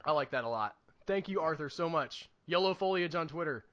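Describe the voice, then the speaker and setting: A man's voice: on the high side, lively intonation, brisk, fairly bright tone, no room sound, highs cut off. A man in his late twenties chatting casually as a podcast co-host, with a joking, self-deprecating manner. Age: late 20s.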